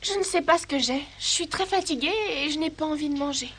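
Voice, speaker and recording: A woman voicing a shy, gentle, soft-spoken teenage girl in a cartoon. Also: high voice, fast talker, a little hiss or hum.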